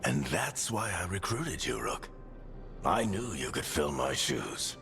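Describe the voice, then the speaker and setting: Older male mentor for fantasy game: deep, lively storyteller, fatherly and weary, over clear music. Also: slow pace, full character voice. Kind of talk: dramatic narration and game dialogue.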